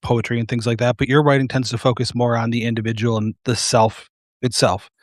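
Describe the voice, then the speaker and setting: A man's voice: mid-range voice, flat delivery, brisk, no room sound, clean studio sound. A man hosting a casual live interview on a video call, rambling and self-deprecating with many fillers. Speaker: host.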